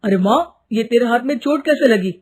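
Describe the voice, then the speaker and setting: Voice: mid-range voice, animated, normal speed, natural voice. A performer playing a resentful, vengeful young man, a housemaid's son, speaking emphatically in a dramatized story. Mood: accusing. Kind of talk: dramatized Hindi moral story.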